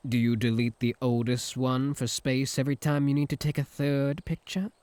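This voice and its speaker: A man's voice: medium pitch, little pitch movement, normal speed, darkish tone, no echo, natural voice. A British man voicing a smug, possessive incubus suitor in a comedic ASMR roleplay, sarcastic and flirtatious. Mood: dry, mocking.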